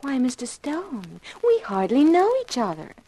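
A young femme fatale in a 1950s radio mystery. She is coy, poised and self-assured, with a fairly high voice that rises and falls expressively. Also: big pitch swings, unhurried, mellow, exaggerated voice, poor audio. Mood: coy, teasing. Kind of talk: 1950s radio drama acting.

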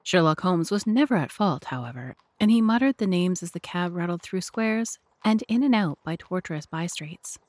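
A woman's voice: lowish voice, lively intonation, moderate pace, few pauses, darkish tone, no echo. A woman narrator and host reading scripted narration for an audio drama.

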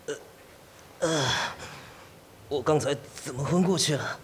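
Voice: mid-range voice, unhurried, slight background noise, close to an everyday voice. A performer voicing a thirty-six-year-old male burglar posing as a renter in a student radio drama, wary and nervous. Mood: panicked. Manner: wary and uneasy, turning to panicked screaming. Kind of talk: amateur radio drama acting.

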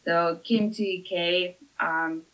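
A woman from Lithuania with a Lithuanian accent, speaking as a podcast guest with many halting "uh" fillers. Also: on the low side, fairly even intonation, low-quality recording. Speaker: master blender at a whisky distillery.

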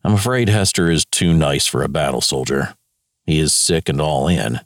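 A man's voice: very deep voice, moderate pace, no room sound, clean studio sound. A man with an American accent playing a stern wartime general, reading his logbook in clipped, grave military phrases. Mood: grave, disappointed.

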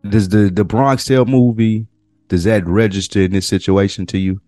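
A man co-hosting a podcast, chatting loosely in slang and working through his points with pauses and restarts. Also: fairly low pitch, fairly even intonation, mellow, no room sound, studio quality, faint music behind. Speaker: podcast co-host. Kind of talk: casual chat.

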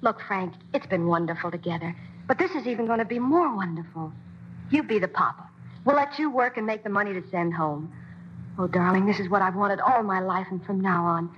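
An actress playing a spirited young vaudeville wife. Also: female voice, mid-range voice, expressive, brisk, close to an everyday voice. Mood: earnest. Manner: spirited and heartfelt. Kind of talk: 1940s radio acting. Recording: dull 1940s radio broadcast with faint hiss.

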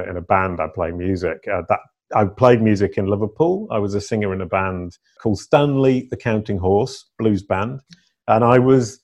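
A middle-aged man, a guest telling stories in a casual interview with playful emphasis. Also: fairly low pitch. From England. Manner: animated storyteller, playful emphasis.

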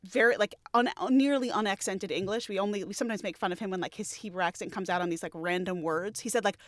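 A woman's voice: medium pitch, fast talker, no echo, studio quality. A woman from New York in her thirties, a podcast co-host chatting in an interview, self-deprecating and laughing often. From New York, United States. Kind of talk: casual interview conversation.

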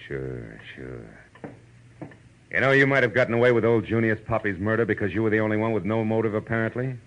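A man's voice: fairly low pitch, animated, fairly quick, slight background noise. An actor playing a wry, unflappable American private eye in a 1940s radio drama, talking in clipped tough-guy slang. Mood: wry, defiant. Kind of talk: 1940s radio detective acting with first-person narration. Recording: dull vintage radio recording with loud music.

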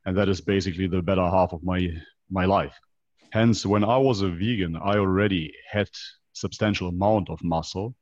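A man's voice: deep voice, fairly even intonation, normal speed, a little mellow, no room sound. A man of about thirty with a foreign European accent, explaining his own experience as a podcast guest and often checking in with a rhetorical question. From North Macedonia, raised in Germany. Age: around 30. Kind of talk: conversational interview, personal account. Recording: dull, band-limited online call.

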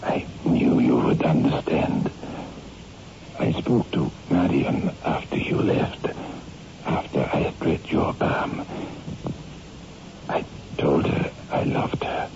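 An actor playing a dying, bitterly scheming rival in love, with a breathy, strained voice and halting delivery in a radio horror drama. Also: male voice, a bass voice, animated, full character voice. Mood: weak, bitter. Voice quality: breathy, strained, half-whispered gravelly voice. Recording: muffled, noisy old radio recording.

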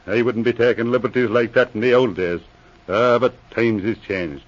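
An actor playing a grumbling, sly old police veteran with an Irish accent and a wry, blarneying way of talking in a radio drama. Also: male voice, medium pitch, steady tone, moderate pace, close to an everyday voice. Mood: gleeful. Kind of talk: 1940s radio drama acting. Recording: dull vintage radio recording.

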